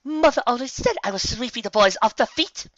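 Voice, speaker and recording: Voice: mid-range voice, expressive, a little fast, dry recording, lo-fi sound, voice pushed up, close to an everyday voice. A reader voicing an elegant, vain female pony with a wry, affected manner for a cartoon-based story. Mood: amused.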